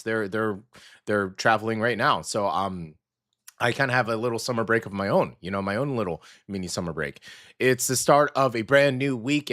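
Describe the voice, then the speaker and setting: A man hosts a morning radio show, his mid-pitched voice hoarse and a bit gravelly after a weekend out. He talks in an upbeat, chatty way, full of ums and uhs. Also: a bit bright. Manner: upbeat and chatty, with many fillers. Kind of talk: casual radio chat.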